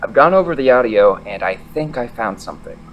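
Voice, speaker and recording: A man's voice: medium pitch, animated, brisk, a bit bright, lo-fi sound, a little hiss or hum, natural voice. Man as Ian, wry mid-voiced sidekick. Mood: matter-of-fact. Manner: matter-of-fact, teasing. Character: Ian, wry, level-headed male friend and audio tinkerer. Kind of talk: naturalistic audio drama dialogue.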